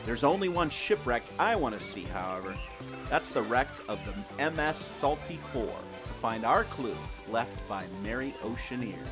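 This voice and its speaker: A man's voice: mid-range voice, lively intonation, normal speed, over music, natural voice. A man playing an eager, clever and confident adventurer in an audio drama. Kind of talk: scripted audio adventure acting.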